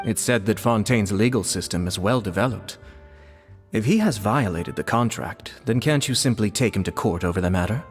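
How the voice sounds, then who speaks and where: A man voicing a courteous, diplomatic young nobleman in a video game, formal and measured. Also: on the low side, long reverb tail, natural voice. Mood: calm, businesslike. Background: music.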